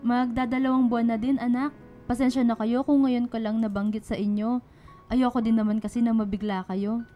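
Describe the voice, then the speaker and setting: A woman's voice: a little high, flat delivery, brisk, highs cut off, close to an everyday voice. A Filipino woman playing a worried, caring middle-aged single mother in a radio drama. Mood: gentle, apologetic. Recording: music behind the dialogue.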